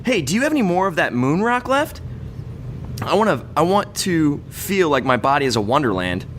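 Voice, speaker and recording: Man reading as a bold, animated party girl. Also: fairly high pitch, moderate pace, a little hiss or hum, pitched above the performer's own voice. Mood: thrilled.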